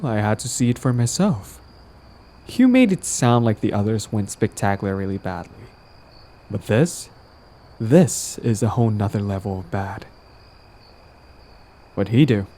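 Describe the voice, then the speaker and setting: A man's voice: lowish voice, warm tone. A man playing a smug, possessive young villain in a romantic audio drama, talking in a casual, teasing, cajoling way. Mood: amused, teasing. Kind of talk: roleplay audio drama, conversational acting.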